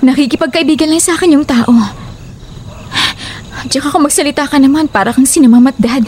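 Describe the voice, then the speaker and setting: A woman's voice: sits high, fairly quick, darkish tone, slight background noise, lightly acted. A woman acting a troubled, trusting young woman who reassures and brushes off a friend in a horror audio drama. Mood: defensive, weary.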